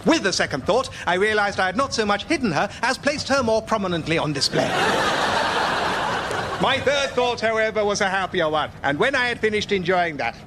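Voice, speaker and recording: Pompous old-fashioned gentleman raconteur. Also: high-pitched, expressive, noisy background. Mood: grandly whimsical. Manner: ornate, pompous, measured delivery. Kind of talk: mock 1930s storytelling in radio comedy.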